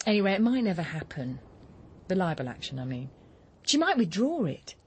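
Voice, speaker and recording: Blunt young woman, swinging pitch. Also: a little mellow, breathy edge. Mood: teasing. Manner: blunt, swearing, teasing. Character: blunt, impatient young woman, a hard-up mother living rough. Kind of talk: radio drama acting.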